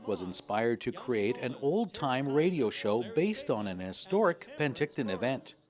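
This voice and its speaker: A man's voice: medium pitch, big pitch swings, unhurried. A man narrating a scripted local television news feature as a reporter.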